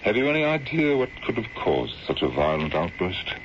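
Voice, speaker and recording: A man's voice: deep voice, big pitch swings, normal speed. An actor playing an anxious, grieving middle-aged colonel and protective father, formal and troubled, in an old-time radio drama. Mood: grave, worried. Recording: dull, noisy old radio broadcast recording.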